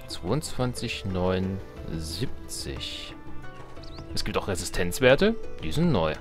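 Guy doing lets play commentary, lowish voice, kinda muttering to himself, bit of music behind. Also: vocal fry. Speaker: let's player. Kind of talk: casual off-the-cuff gameplay commentary.

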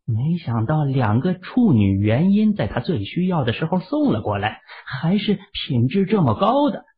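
A man narrating a fantasy novel as an audio drama, with dramatic, expressive storytelling. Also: a little high, normal speed, breathy edge. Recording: phone-like narrow-band sound.

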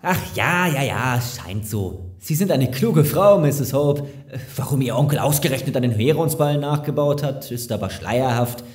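A man's voice: mid-range voice, lively intonation, normal speed, few pauses, lightly acted. Man playing a slick, overeager lawyer, roomy sound. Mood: flattering, dismissive. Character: slick, overeager male lawyer, condescendingly flattering, evasive. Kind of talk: German audio drama acting.

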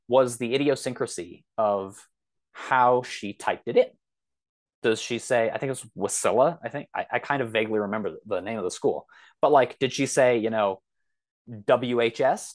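A man in his thirties explaining things casually as a podcast guest, rambling and often correcting himself as he goes. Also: mid-range voice. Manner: rambling, self-correcting, hedging.

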